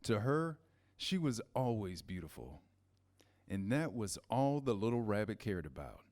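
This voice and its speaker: A man narrating a scripted children's story in measured, pausing phrases. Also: lowish voice, sing-song, darkish tone. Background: music, guitar.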